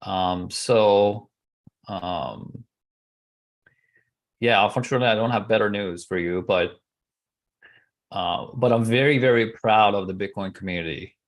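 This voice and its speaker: Low-voiced man. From Iran. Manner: measured, hesitant, frequent pauses with uh. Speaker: guest. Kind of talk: podcast interview answer.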